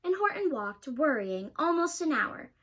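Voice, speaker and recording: Young woman, children's storybook reader; high pitch, rhythmic and lively. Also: measured pace. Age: young adult. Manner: rhythmic rhyming delivery.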